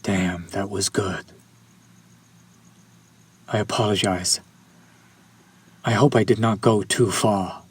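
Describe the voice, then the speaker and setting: A man's voice: fairly low pitch, steady tone, slow, long pauses, a little hiss or hum. A man playing a courtly, centuries-old vampire in an intimate audio roleplay, speaking to the listener with formal, old-fashioned politeness. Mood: smitten, tender.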